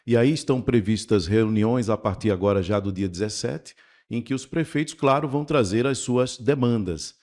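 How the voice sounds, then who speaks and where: Radio co-host framing questions. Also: male voice, lowish voice, normal speed, a little mellow. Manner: framing questions, recapping news.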